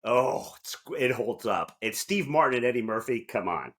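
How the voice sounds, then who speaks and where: A middle-aged man with a very lively, swooping delivery and a mid-range voice. He chats casually as a podcast co-host, wandering through halting asides and jokes. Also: medium pitch. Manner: rambling, joking, halting asides. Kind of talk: casual comedic chat.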